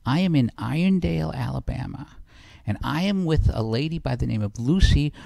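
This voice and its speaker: A man's voice: medium pitch, expressive, brisk, vocal fry, warm tone, no room sound, studio quality. A man in his fifties hosting an interview, friendly and often restarting his questions. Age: 50s. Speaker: interviewer and host. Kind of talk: conversational interview.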